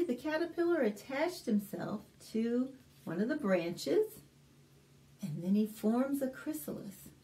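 A middle-aged American woman hosting a storytime, reading a picture book aloud to young children in an expressive, deliberate way with simple explanations. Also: fairly high pitch. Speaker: children's librarian and storytime host. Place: small indoor room, plain backdrop.

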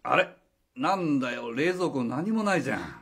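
A man's voice: medium pitch, lively intonation, moderate pace, background noise, lightly acted. A man playing an easygoing, evasive drifter in a radio drama, talking casually and hesitantly, with slangy phrasing. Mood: nonchalant. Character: easygoing, evasive drifter posing as a boy's father. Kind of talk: Japanese radio drama acting.

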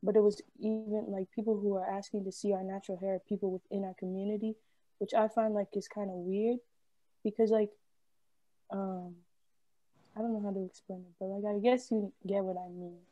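A teenage girl on a video call with a mellow, dark tone and a very flat, even delivery. The sound is dry and close, and she keeps searching for her words. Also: mid-range voice, a little fast, dry recording, dull audio. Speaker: student panelist. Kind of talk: casual panel discussion. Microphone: webcam video call.

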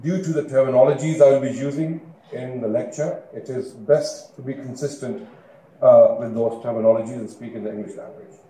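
A man whose first language is Urdu, giving a formal lecture in a large auditorium at a podium mic. He speaks in a measured way and lifts his voice on key points. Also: mid-range voice, steady tone, warm tone. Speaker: guest lecturer. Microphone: podium mic, seen from a far camera. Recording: echoey hall, faint room noise.

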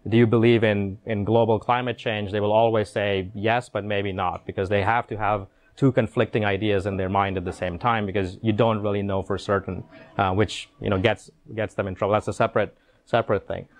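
A man's voice: fairly low pitch, flat delivery, a little fast. A middle-aged man giving a conference keynote talk in a room with an audience, speaking into a handheld microphone, explaining ideas with frequent restarts and repeated words.

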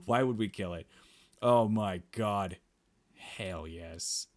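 A man's voice: on the low side, slow pace. Man playfully reading a visual novel aloud. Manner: playful, exclamatory asides. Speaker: let's player. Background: game music.

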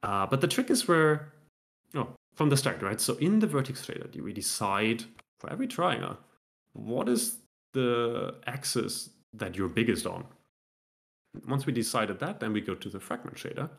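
A man in his thirties presenting a technical meetup talk from home over a video call, relaxed and self-deprecating, with lots of fillers and restarts as he demos software live. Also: mid-range voice, moderate pace, gravelly.

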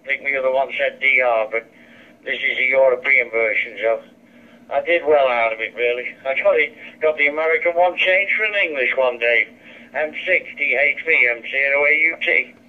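A man's voice: medium pitch, normal speed. A man chatting casually as an amateur radio operator, his voice coming through a handheld radio. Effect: heard through a handheld radio. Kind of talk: casual two-way radio chat. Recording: dull lo-fi two-way radio speaker.

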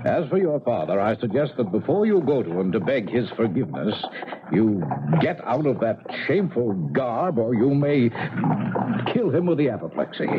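An actor playing a haughty, coldly menacing eighteenth-century gentleman in a 1970s radio drama, with pompous, formal diction. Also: mid-range voice, sing-song, normal speed, phone-quality sound, close to an everyday voice. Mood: cold indignation. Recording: noisy, band-limited 1970s radio broadcast.

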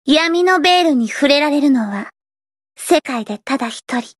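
A woman's voice: high voice, animated, moderate pace, no echo, clean studio sound. A woman voicing a theatrical gothic teenage idol girl from an anime game, with grandiose, dramatic delivery and a shy, sweet side. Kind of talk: anime game character voice lines.

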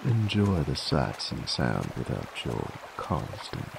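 A man playing a cocky, dominant werewolf bounty hunter in an ASMR roleplay, with a gravelly cowboy drawl and a teasing, folksy manner. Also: slow, background noise, full character voice. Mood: dry, weary. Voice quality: deep, gravelly. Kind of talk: ASMR audio roleplay, in-character monologue. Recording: steady trickling stream ambience behind. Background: running water, outdoor nature ambience.

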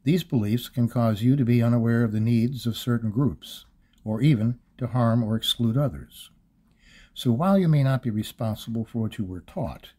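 A middle-aged man reading scripted e-learning narration in a measured, instructional manner. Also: medium pitch, warm tone, husky. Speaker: voice-over narrator reading a demo.

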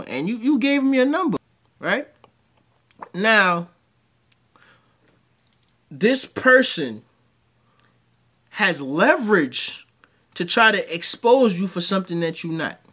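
A man, telling crude stories in a home-studio reaction commentary. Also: on the high side. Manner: animated, rambling storyteller with crude jokes. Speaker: commentary host. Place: dim home room with green light.

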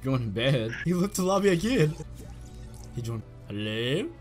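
A man's voice: medium pitch, very animated, normal speed, faint music behind. A young man streaming a video game, chatting casually and playfully teasing his teammate. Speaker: gaming streamer. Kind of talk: casual gaming chat.

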